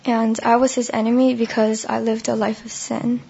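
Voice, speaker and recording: A young adult woman with a hoarse, worn voice, giving her testimony at church in short chunks. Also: a little high, little pitch movement, normal speed, warm tone, highs cut off. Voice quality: gravelly, husky. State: hoarse, lost voice. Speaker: baptism candidate giving testimony.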